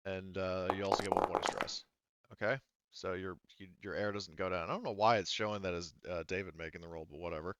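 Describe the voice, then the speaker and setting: A man's voice: deep voice, fairly even intonation, quick pace, a bit bright, a little hiss or hum. A man acting as game master, casually narrating and refereeing a tabletop roleplaying game, thinking aloud and often correcting himself.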